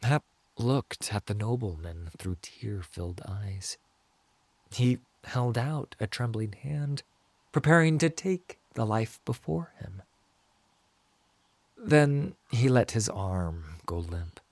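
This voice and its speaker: A man narrating a dramatic fantasy story in a measured, solemn manner. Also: fairly low pitch, lively intonation, no echo.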